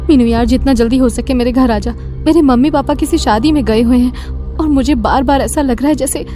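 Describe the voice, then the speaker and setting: A woman plays a frightened young woman in a horror cartoon. Her voice is very high and soft-toned. She sounds anxious and pleading, with quiet music underneath. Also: fairly quick, close to an everyday voice. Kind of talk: Hindi cartoon horror dubbing.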